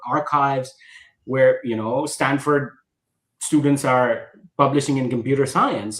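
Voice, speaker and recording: A man's voice: mid-range voice, roomy sound. A man from Pakistan hosting a podcast, talking animatedly with emphatic stresses and frequent restarts. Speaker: podcast host. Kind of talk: conversational podcast discussion.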